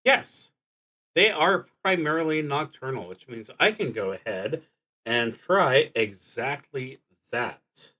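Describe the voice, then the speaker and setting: A man with a mid-range voice commentates on his solo roleplaying session as he plays. He speaks very slowly and deliberately, often pausing in the middle of a thought as he works things out aloud. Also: mid-range voice, expressive, bright, forceful tone, rough recording. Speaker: streamer playing a solo tabletop roleplaying game. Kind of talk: live solo game commentary, thinking aloud.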